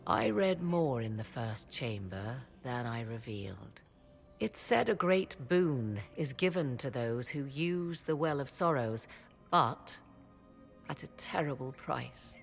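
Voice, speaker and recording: A proud, low-voiced mysterious witch. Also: lively intonation, gravelly, soft background music, full character voice. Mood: grave, guarded. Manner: formal, archaic, measured diction. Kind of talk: dramatic video game dialogue.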